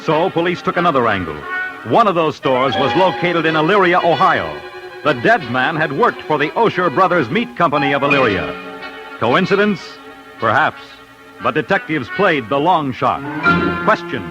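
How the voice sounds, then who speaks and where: A man's voice: on the high side, animated, normal speed. Dramatic, swooping American radio narrator, male. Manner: punchy, suspenseful short phrases. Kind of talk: dramatic 1940s-50s radio crime narration. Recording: old radio broadcast with music underneath.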